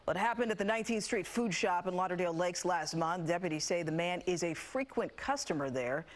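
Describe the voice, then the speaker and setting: A woman's voice: lowish voice, a little fast. American woman anchoring a TV newscast. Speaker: TV news anchor. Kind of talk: scripted newscast narration.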